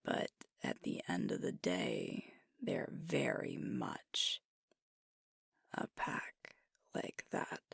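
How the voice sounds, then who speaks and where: Woman acting a whispering, gravelly alpha werewolf girlfriend. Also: rumbling low, no room sound, clean studio sound, exaggerated voice. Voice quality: breathy, whispery. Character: female alpha werewolf pack leader, frustrated, doubting, conscientious girlfriend. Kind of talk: ASMR roleplay monologue, whispered and intimate. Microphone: close microphone.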